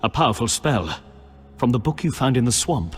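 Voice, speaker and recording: An actor playing an earnest, righteous knight in a video game, speaking in a solemn, formal manner. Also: male voice, mid-range voice, very animated, moderate pace, husky, faint music behind. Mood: urgent, resolute. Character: earnest, righteous male knight of a holy order. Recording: long reverberant hall echo.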